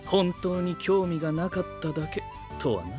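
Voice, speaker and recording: An aloof, critical young knight with a high voice. He speaks in terse, stiff, analytical phrases. Music plays clearly behind the audio drama. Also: lively intonation, moderate pace. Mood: cool, critical. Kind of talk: Japanese anime-style audio drama acting.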